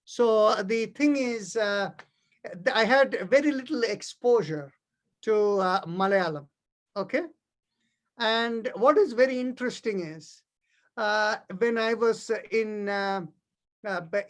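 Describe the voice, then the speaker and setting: A man's voice: high-pitched, fairly bright tone. A middle-aged man from India with an Indian accent and Tamil as his first language, teaching yoga philosophy in a didactic, explanatory way and checking that listeners follow. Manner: didactic, checks understanding with short tag questions. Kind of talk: explanatory spiritual lesson.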